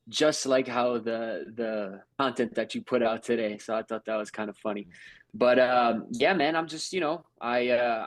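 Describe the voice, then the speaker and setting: A man in his thirties from Brazil, raised in New York, a native Portuguese speaker chatting in a relaxed, rambling way as a guest on a podcast. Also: mid-range voice, no echo, low-quality recording. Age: 30s. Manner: laid-back, rambling, lots of fillers and "bro", "man". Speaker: guest, house flipper. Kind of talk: casual podcast chat.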